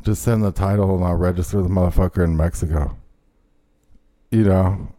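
Low, mellow-voiced man chatting casually. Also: steady tone, normal speed. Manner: informal, profane. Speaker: host of a talk podcast. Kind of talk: casual chat. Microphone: remote internet call.

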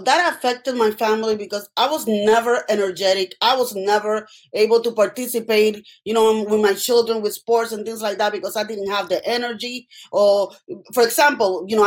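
Higher-pitched Puerto Rican woman telling her story. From Puerto Rico. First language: Spanish. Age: middle-aged. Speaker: interview guest, healthcare worker. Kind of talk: personal storytelling in interview.

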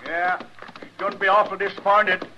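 A man's voice: sits high, flat delivery, brisk, lightly acted. An actor playing an anxious, folksy American homesteader and father in an old-time radio western. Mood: worried. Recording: dull, noisy vintage radio recording.

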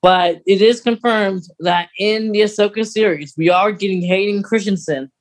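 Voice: mid-range voice, normal speed, rough recording. A podcast host talking through fan news in a casual, conversational chat.

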